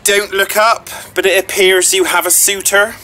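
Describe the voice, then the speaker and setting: A woman's voice: mid-range voice, level tone, normal speed, bright, forceful tone, lightly acted. A woman playing a wise old genie assistant in an audio drama, coaxing and matter-of-fact. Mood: coaxing, insistent.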